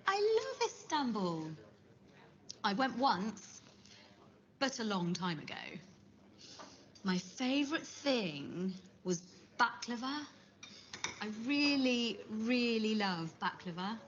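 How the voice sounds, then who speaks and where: A woman's voice: fairly high pitch, big pitch swings, slow pace, long pauses. An actress playing a cheerful young woman chatting with a friend, speaking enthusiastically in a learning skit. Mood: enthusiastic. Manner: enthusiastic, gushing. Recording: muffled lo-fi video audio.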